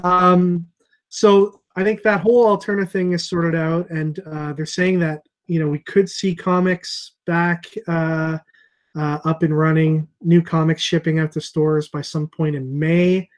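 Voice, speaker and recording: A middle-aged man hosting a casual video call chat as a comic shop owner, thinking aloud with many pauses and fillers. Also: a little high, little pitch movement. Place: small room with shelves of comics behind. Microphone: earbud headset on a video call.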